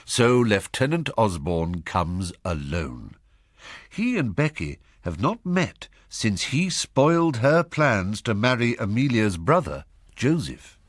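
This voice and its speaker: An actor narrating a radio drama as a measured, ironic storyteller. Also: male voice, lowish voice, sing-song, dry recording, clean studio sound, exaggerated voice. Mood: wry. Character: wry, sardonic male storyteller commenting on society.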